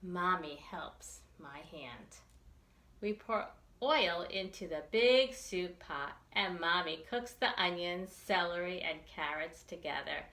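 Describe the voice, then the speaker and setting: A woman reading a picture book aloud for young children, expressively and with deliberate enunciation. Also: on the low side, bright, forceful tone, echoey room. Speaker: children's librarian storytime reader.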